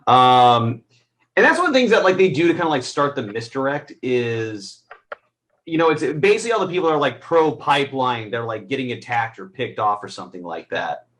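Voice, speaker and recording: Halting man in casual film discussion. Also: mid-range voice, sing-song, fairly bright tone. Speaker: film reviewer, co-host.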